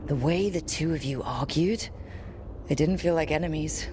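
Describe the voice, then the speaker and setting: Game lead: level-headed heroine, played by a woman, notably low pitch, dry and direct, audible ambient noise behind. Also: normal speed, natural voice. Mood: thoughtful. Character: level-headed, frank heroine of a fantasy adventure. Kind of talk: video game dialogue.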